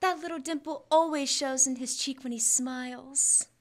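A young woman acting a coy, lovestruck shop lady in an old-time radio comedy, gushing deliberately. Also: high-pitched, steady tone, very noisy, close to an everyday voice. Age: young adult. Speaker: high school student actor. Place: school library room, live staged radio show. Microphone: shared stand microphone.

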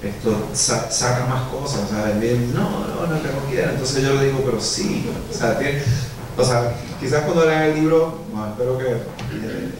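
A man's voice: mid-range voice, normal speed, a crowd in the background. A man in his thirties with a Puerto Rican Spanish accent, talking casually at a book presentation and rambling with many fillers into a handheld mic. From Puerto Rico. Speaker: visual artist and musician. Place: small theater with an audience. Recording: echoey hall from far off, noisy.

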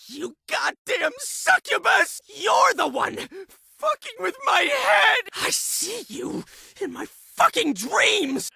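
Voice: very high voice, pushed and bright, no echo, exaggerated voice. An actor playing a raving, paranoid asylum patient in a video game, tormented and violent, ranting in frantic, screechy bursts. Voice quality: screechy, gravelly scream. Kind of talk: shouted video game enemy barks.

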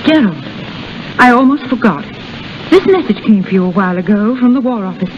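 A woman's voice: on the high side, expressive, a little fast, soft background music, lightly acted. A woman plays a kindly English mother who frets over her grown son. The acting is in 1930s radio style, and the old broadcast sounds dull and muffled. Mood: concerned.

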